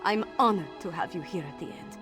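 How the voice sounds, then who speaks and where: An actress playing an earnest young woman ruler in a video game, steady and resolute. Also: fairly low pitch, a little fast, over music, natural voice. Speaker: young ruler addressing her people.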